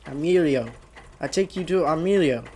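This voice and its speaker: A man's voice: on the high side, fairly quick, dark tone, close to an everyday voice. An actor playing a haunted, desperate young male driver in a radio drama, with an intense delivery that stammers under agitation. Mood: friendly, eager. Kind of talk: radio drama acting with first-person narration. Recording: clearly noisy radio play recording.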